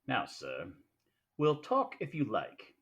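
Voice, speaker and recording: An actor playing a genial, cunning, courtly fat crime boss in a 1940s-style radio play, with a pompous, wordy manner. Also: male voice, medium pitch, huge pitch swings, slow pace, fairly bright tone, full character voice. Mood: jovial, courtly. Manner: pompous, theatrical, elaborately formal.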